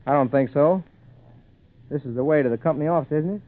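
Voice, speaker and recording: An actor playing a determined young lawyer, polite but stubborn and crisply spoken, in 1940s radio drama style. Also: male voice, a little high, fast, phone-quality sound, lightly acted. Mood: polite, firm. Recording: thin, band-limited 1940s radio recording.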